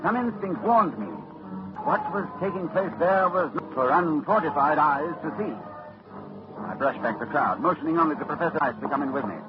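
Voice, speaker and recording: An actor playing a learned librarian-doctor who narrates his ordeal in measured, dramatic tones in an old radio play. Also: a little high, animated, close to an everyday voice. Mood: narrating. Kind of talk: 1940s radio acting. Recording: dull old radio recording with music score.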